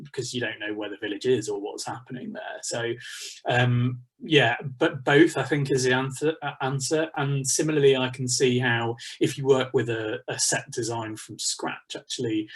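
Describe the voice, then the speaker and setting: A British man with a husky, slightly rough voice at an ordinary pitch. He talks informally to a workshop from a home study over a video call, chatty and discursive, working out his thoughts as he goes. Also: fairly bright tone. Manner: chatty, discursive, thinking aloud. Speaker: pantomime writer leading a workshop. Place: small home study, indoors. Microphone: computer mic on a video call.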